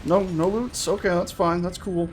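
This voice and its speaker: A man's voice: high-pitched, fairly even intonation, normal speed. A man hosting a gaming video with casual, chatty, playful and enthusiastic let's-play commentary. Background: game music.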